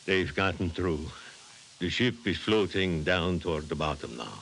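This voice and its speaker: An actor playing a sober, skeptical scientist, grave and restrained, in 1950s radio drama style. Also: male voice, low-pitched, measured pace, creaky, a bit bright, heavily acted. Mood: grave, doubting. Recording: hissy vintage 1950s radio recording.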